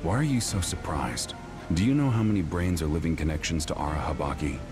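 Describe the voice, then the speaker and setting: An actor playing a cold, obsessive time-traveling villain in a video game, imperious and weary, speaking with deliberate contempt. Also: male voice, extremely low pitch, animated, music in the background. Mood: cold, smug. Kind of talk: dramatic video game acting.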